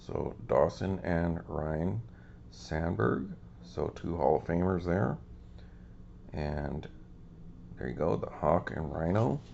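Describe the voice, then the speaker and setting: A man's voice: low-pitched, creaky, darkish tone, lo-fi sound. A man hosting a casual show-and-tell of his cards, easygoing and rambling with lots of ums, his voice a little scratchy today. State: slightly scratchy voice. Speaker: card collecting channel host.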